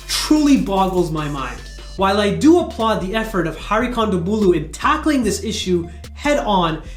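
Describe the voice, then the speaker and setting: A man in his thirties delivering scripted commentary as a video channel host. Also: high-pitched. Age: 30s. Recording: roomy echo with music behind. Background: background music.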